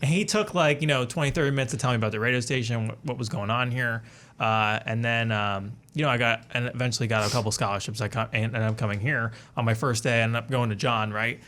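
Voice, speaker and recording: Fast-talking young man telling loose stories. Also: medium pitch, fairly even intonation, fairly bright tone. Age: 20s. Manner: rambling, loose storytelling with fillers. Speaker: guest, alumnus. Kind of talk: casual interview chat.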